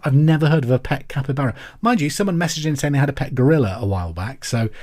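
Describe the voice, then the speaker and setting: A man's voice: mid-range voice, lively intonation, fast, a little mellow. Upbeat English male podcast quizmaster. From England. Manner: upbeat, friendly, conversational. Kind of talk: chatty podcast presenting, reading out listener messages.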